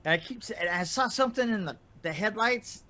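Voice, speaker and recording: An older man telling anecdotes casually in a rambling, halting way, chuckling as he goes. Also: high voice, expressive, bright, forceful tone. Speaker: podcast storyteller guest. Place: indoor room on a video call.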